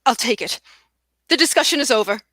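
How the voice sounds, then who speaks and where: A woman playing a suave, grandiose gentleman suitor with a pompous, theatrical delivery in a stage comedy read. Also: on the high side, expressive, a little fast, dry recording, studio quality. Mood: grandly seductive.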